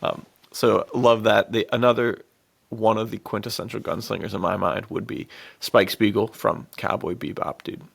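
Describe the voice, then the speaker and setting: A man's voice: on the low side, fairly even intonation, normal speed, vocal fry. A man co-hosting a podcast in casual, enthusiastic chat, with slangy talk peppered with "dude". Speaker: podcast co-host.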